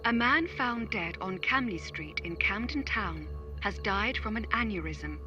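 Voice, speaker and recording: A woman voicing a polite, unflappable phone voice assistant in an audio drama, measured and impersonal, through a small device speaker. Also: mid-range voice, lively intonation, over music. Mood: neutral, informative. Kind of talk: audio drama acting, scripted read-out. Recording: dull lo-fi device-speaker sound.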